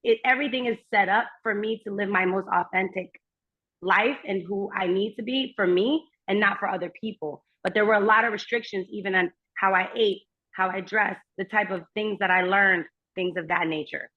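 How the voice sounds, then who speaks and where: A woman whose first language is German chats as a podcast guest. She speaks in long, earnest, personal runs at a middling pitch. The remote audio is muffled and lo-fi. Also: moderate pace. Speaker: guest astrologer. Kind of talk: casual conversation. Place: remote, while traveling.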